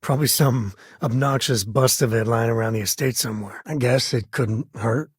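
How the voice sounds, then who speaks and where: A middle-aged space cowboy and protective father for a video game. His voice is heavily husky and sits at an ordinary male pitch. He talks in a folksy, wry, informal way, with a dry studio sound and no room around it. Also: normal speed, no room sound. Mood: easygoing. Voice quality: husky, raspy. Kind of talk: video game character voice lines.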